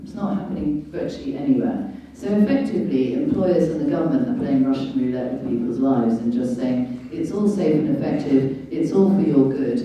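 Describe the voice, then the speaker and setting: A woman's voice: sits low, mellow. A woman giving an impassioned, emphatic public talk on legal matters, speaking as a lawyer at a meeting. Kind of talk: impassioned public speech explaining law. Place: indoor public meeting venue. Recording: echoey room, distant microphone.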